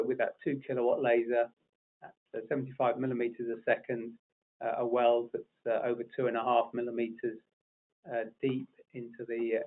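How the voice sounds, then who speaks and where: A man presenting a technical webinar, talking off the cuff with many fillers and restarts. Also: mid-range voice. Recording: narrow phone-like audio.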